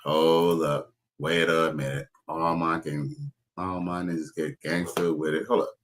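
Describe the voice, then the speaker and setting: A man's voice: rumbling low, little pitch movement, unhurried. A man hosting a casual reaction commentary, rambling and profane, often stopping to search for a word. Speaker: commentary show host.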